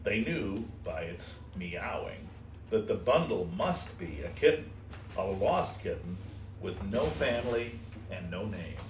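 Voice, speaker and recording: A man reading a children's storybook aloud expressively, in a playful manner with casual asides. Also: fairly low pitch. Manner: unhurried, animated, playful. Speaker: host reading a picture book aloud to shelter kittens. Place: indoor room at an animal shelter. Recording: roomy, distant mic with faint noise.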